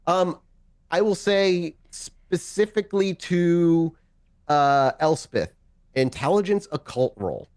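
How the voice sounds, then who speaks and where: A man's voice: on the high side, lively intonation, slow, a little mellow, clean tone. A man improvising narration as game master of a tabletop game, talking in a casual, conversational, sometimes profane way.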